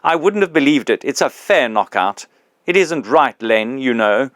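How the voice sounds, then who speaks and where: A man reading an audiobook, voicing a big, self-righteous male ghost who speaks loudly and indignantly in coarse working-class talk. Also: medium pitch, lively intonation, normal speed, dry recording, clean studio sound, voice pushed up, lightly acted.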